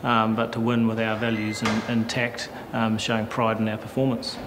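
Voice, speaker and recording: A man in his forties with a New Zealand accent, a headmaster giving a formal, measured and halting explanation. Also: on the low side, completely flat delivery. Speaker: school headmaster. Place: brick school corridor by trophy cabinets. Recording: reverberant hall with clear background noise.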